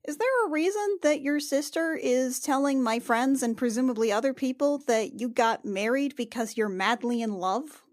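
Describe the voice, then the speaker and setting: A woman playing a frank, teasing young healer in improvised tabletop roleplay, speaking casually and haltingly and swearing freely. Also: sits high, no room sound, clean studio sound, close to an everyday voice. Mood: curious, indignant.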